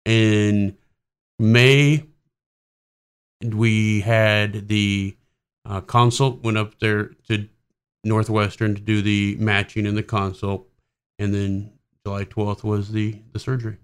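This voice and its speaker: A man's voice: on the low side, flat delivery, takes it slowly, smooth. A man in his forties, an army officer, answering interview questions in a direct, matter-of-fact way. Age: 40s.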